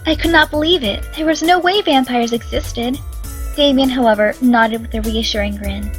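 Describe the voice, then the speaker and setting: A hoarse young woman reading a visual novel aloud, chatty with excitable asides. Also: fairly high pitch, normal speed, soft background music. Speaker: game reader and narrator.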